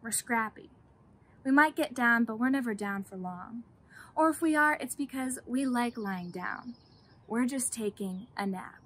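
Young woman giving a deadpan comic speech. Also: on the high side, unhurried, breathy edge. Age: young adult. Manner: deadpan self-mocking humor. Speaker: senior class speaker. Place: outdoors in a home garden and porch.

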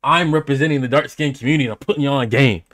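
A man's voice: mid-range voice, very fast, a bit bright, slight background noise. A young man giving casual, slangy reaction commentary as a streamer, often repeating himself for emphasis, on a close microphone indoors. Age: young adult. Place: small indoor room.

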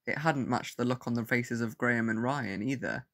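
A man's voice: medium pitch, normal speed, fairly bright tone. A man narrating a scripted audio story as its narrator.

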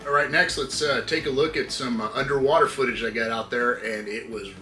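A man's voice: medium pitch, a little fast, soft background music. A middle-aged man hosting a fishing channel, casually walking through how he rigs baits, with lots of fillers and restarts. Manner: relaxed, many fillers and restarts. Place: workshop with tackle-covered walls.

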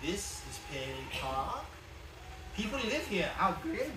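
A man's voice: high voice, very animated, takes it slowly, exaggerated voice. A man acting an earnest, fussy guardian angel in a live radio play on stage. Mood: solemn. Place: small indoor theatre stage. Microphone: far camera from back of audience. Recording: distant, echoey, noisy theatre audience recording.

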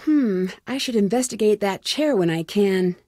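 A curious young American sleuth acted by a woman from an adventure game. She thinks aloud in short, wry, plucky remarks. The voice sits at a medium pitch and is recorded dry and close. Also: animated, normal speed, warm tone, dry recording, natural voice. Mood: curious, focused. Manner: wry, self-talking, crisp short remarks. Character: curious, plucky young female amateur detective. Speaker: voice actor in a detective adventure game. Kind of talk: video game character lines.

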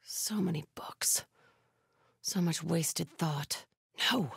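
An actress playing a devout, stern, vigilant cult scout in a video game, speaking in a hushed, breathy, solemn way. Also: female voice, low-pitched, takes it slowly, dry recording, studio quality, full character voice. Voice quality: breathy, hushed, often whispery. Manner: solemn, guarded.

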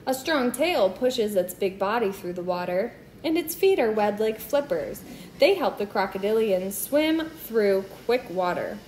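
A woman's voice: medium pitch, lively intonation, a little slow, a little mellow, a little hiss or hum, rough recording. A woman reading a children's nonfiction book aloud in a teacherly manner.

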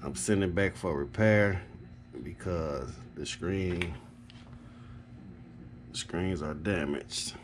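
A man's voice: low-pitched, slow, long pauses, background noise. A man giving a casual, rambling, matter-of-fact product update as an HVAC technician on a handheld camera. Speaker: HVAC technician and video host. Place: small carpeted home office, indoors.

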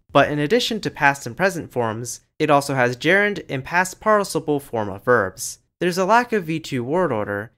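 A man giving scripted educational narration over slides, in a lively, explanatory way. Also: on the high side. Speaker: language channel narrator.

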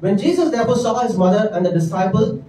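Indian pastor preaching in an echoey church. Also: male voice, high voice, lively intonation, fast, warm tone, a little hiss or hum. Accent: Indian English. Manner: earnest preaching with frequent "right?" and "amen" asides. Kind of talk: sermon. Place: church stage with pulpit. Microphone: handheld mic. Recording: echoey church room miked from a distance.

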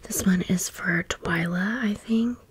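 A woman whispering in a breathy voice, chatting casually through an ASMR haul with gushing, sassy slang. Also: medium pitch, takes it slowly, noisy background. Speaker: ASMR video creator. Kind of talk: ASMR doll haul, rambling commentary.

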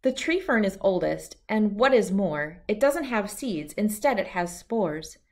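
Slow-paced woman reading a storybook. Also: mid-range voice, animated. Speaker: children's book reader. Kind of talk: read-aloud of a rhyming children's picture book.

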